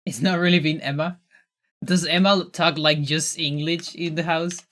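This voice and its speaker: Bright-voiced Chilean streamer, chuckly. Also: male voice, a little high, moderate pace. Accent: mixed American with Chilean undertone. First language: Spanish. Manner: relaxed, chuckling, trails off into murmurs. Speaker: speedrun streamer. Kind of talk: casual live-stream chat while playing.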